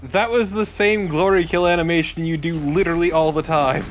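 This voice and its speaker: A man's voice: fairly high pitch, steady tone, brisk, slight background noise. A man giving casual let's play commentary and recapping game lore in a dry, wry manner. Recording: game ambience behind commentary.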